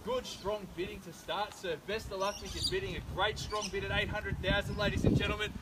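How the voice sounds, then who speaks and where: An Australian man in his thirties working as an auctioneer, running a live property auction with brisk, persuasive sales patter on a suburban street. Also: high-pitched, flat delivery. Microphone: far camera, no microphone. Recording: distant outdoor camera audio, loud street noise.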